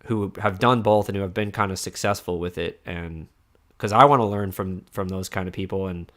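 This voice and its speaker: A man's voice: sits low, a little fast, fairly bright tone. A man hosting a casual streamed podcast, rambling with lots of restarts and fillers as he wraps up with his guest. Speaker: podcast host. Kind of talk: casual chat.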